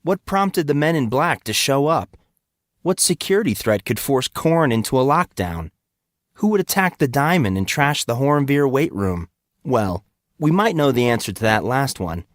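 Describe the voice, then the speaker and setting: Man, episode host, scripted recap, medium pitch, dry recording. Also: very animated, moderate pace, no room sound.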